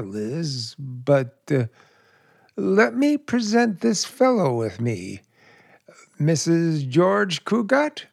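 A man's voice: high-pitched, creaky, heavily acted. A man playing a hesitant, polite family friend in 1940s radio comedy style.

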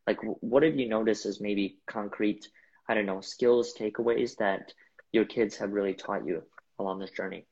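A man interviewing casually, feeling his way through questions and rephrasing as he goes, with a husky voice. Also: lowish voice, fairly even intonation, moderate pace, a little mellow. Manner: tentative, rephrasing as he asks. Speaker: co-host asking questions. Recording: dull, lo-fi online-call sound.

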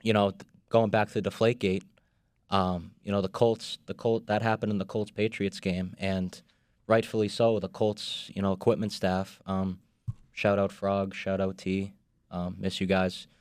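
A man's voice: sits low, flat delivery, moderate pace, a little mellow, no echo, clean studio sound. A young man with a Boston accent, a podcast guest telling a story with many ums and restarts. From Boston area. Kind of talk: casual podcast chat.

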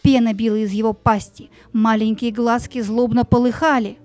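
Female narrator, fairly high, expressive, scripted fairy-tale storytelling, background music. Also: normal speed, no echo.

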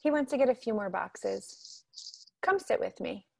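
A woman's voice: medium pitch, big pitch swings, unhurried, gravelly, a little mellow, no echo, heavily acted. A woman acting a caring, fussing mother of a grown daughter in a radio drama. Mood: gentle, earnest.

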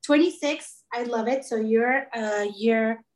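A woman's voice: a little high, unhurried. A woman in her twenties hosting an interview, gushing and enthusiastic toward her guest. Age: 20s. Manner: gushing, enthusiastic, warm-hearted praise. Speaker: interviewer host. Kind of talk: casual interview.

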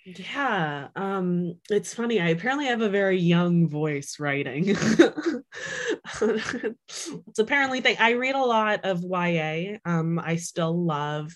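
Young adult woman, lower pitch, chatty interview guest who fills with ums and restarts. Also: animated, slow pace. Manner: chatty, many fillers and restarts, laughs often. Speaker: author guest. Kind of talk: casual interview talk.

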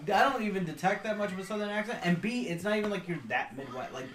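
A man's voice: sits high, quick pace, reverberant. A young man hosting a casual chat, swearing a lot and laughing often as he jokes. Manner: profane, laughing, animated joker. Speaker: podcast host.

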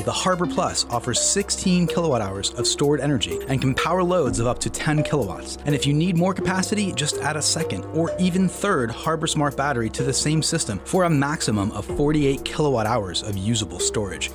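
A man reading a scripted advertisement in an upbeat, promotional manner. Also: mid-range voice. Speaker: ad announcer. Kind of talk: scripted ad read. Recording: music bed behind.

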